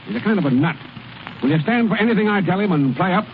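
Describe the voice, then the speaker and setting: A bossy, scheming ball club manager in a vintage radio comedy. He talks in brisk slang with a high, very animated voice. The sound is muffled like an old broadcast. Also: slight background noise. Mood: jovial, scheming. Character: gruff, scheming baseball team manager, a man. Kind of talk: 1930s radio comedy acting.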